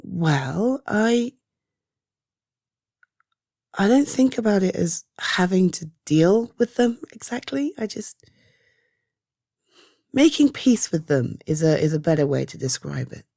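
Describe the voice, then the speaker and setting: A British woman performing an ASMR roleplay as a caring partner-like confidante, speaking softly and hesitantly with reassurance. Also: lowish voice, sing-song, vocal fry, no room sound, studio quality, exaggerated voice. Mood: gentle reassurance. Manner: hesitant, soft reassuring, halting pauses. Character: caring, reassuring woman comforting a loved one. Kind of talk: ASMR roleplay, one-sided intimate conversation.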